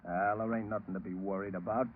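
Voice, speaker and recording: Male actor as slow-witted tough henchman, radio crime serial; slangy, obedient, mid-range voice through thin, hissy old audio. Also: fairly low pitch, steady tone, natural voice. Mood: eager, casual. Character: dim, loyal male thug bodyguard, ex-wrestler. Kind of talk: 1940s radio crime drama acting. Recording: noisy, tinny old radio recording.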